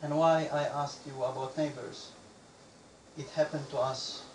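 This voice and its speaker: A man's voice: mid-range voice, fairly even intonation, a little slow. A man from Bosnia asking a speaker questions, hesitant, with many restarts and repeated words. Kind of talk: halting question from an audience member. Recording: echoey room, speaker far from the mic, clear background noise.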